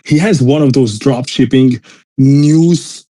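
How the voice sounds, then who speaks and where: A man's voice: medium pitch, slow pace, warm tone. A man from Austria, a native German speaker with a mixed European accent in English, talks casually and motivationally in a rambling, emphatic way, peppered with bro slang.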